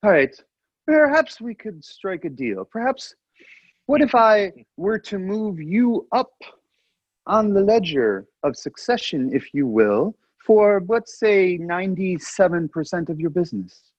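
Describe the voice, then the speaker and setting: A man's voice: high-pitched, very animated, measured pace, dry recording, dull audio, exaggerated voice. A man voicing Randolph, a pompous, indignant young royal, with a put-on Polish accent and a fussy, grandiose manner.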